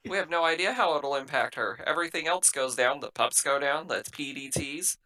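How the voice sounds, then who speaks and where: A man's voice: brisk, sharp tone. A man playing a tabletop roleplaying game, moving between firm in-character lines and casual table talk, plain-spoken and given to swearing. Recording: low-quality laptop group session.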